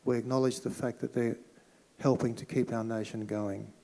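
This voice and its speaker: A man with an Australian accent acting as master of ceremonies, giving a measured, formal address at a podium in a community hall. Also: mid-range voice, steady tone, gravelly, warm tone. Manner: measured, formal public announcing. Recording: long hall reverb.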